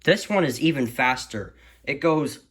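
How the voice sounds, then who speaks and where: A man's voice: mid-range voice, lively intonation, a little slow, a bit bright, a little hiss or hum. Rambling, stammering man vlogging. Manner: rambling, stammering, stretches words for emphasis. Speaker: hobbyist video creator showing a radio collection. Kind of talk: casual unscripted vlog rant.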